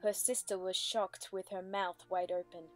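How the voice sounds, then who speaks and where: A woman's voice: mid-range voice, level tone, moderate pace, faint music behind. A woman reading scripted story narration as the narrator of a dramatic reading.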